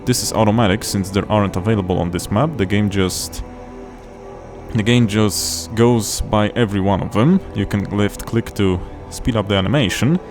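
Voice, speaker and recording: Young man hosting a game playthrough, lowish voice, loose chatty delivery, game music underneath. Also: moderate pace. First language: Polish. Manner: chatty, restarts phrases while explaining. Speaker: gaming channel host. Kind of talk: casual gameplay commentary.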